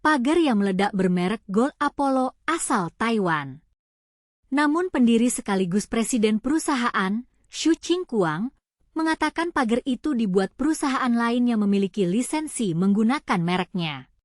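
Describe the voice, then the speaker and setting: Female news narrator, higher-pitched voice, dry close sound, scripted delivery. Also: expressive, normal speed, no room sound.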